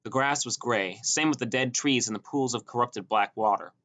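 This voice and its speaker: A man's voice: medium pitch, normal speed, fairly bright tone. A man reading a scripted first-person story as an audiobook narrator.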